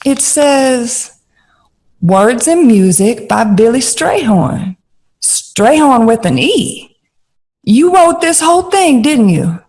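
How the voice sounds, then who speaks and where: A bold, outspoken film star from the jazz era in an audio drama. Her voice sits fairly high with a husky rasp. She speaks slowly and bluntly, leaning on her words with salty emphasis. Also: big pitch swings, darkish tone, heavily acted. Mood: amazed, excited. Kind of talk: period audio drama dialogue.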